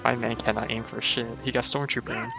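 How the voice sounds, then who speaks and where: A man's voice: mid-range voice, fairly even intonation, fast, vocal fry, music in the background. A man streaming a game, chatting casually and joking as he plays. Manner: joking, laughs often. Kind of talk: casual commentary over gameplay.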